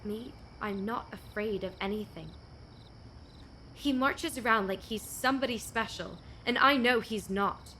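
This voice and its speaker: A woman's voice: fairly high pitch, measured pace, bright, forceful tone, slight background noise. A young woman acting a proud, bitter, fiercely independent young woman in a radio play, guarded and terse. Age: young adult.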